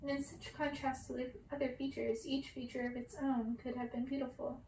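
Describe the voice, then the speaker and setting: A woman's voice: sits high, little pitch movement, a little fast, warm tone. A woman narrating a story, reading it aloud. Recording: roomy, distant mic, faint noise.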